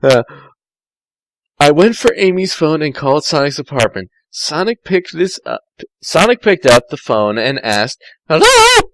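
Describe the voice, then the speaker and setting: A man narrating a story in the first person as an amateur reader, halting and often rereading his phrases. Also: on the high side, very animated, dry recording.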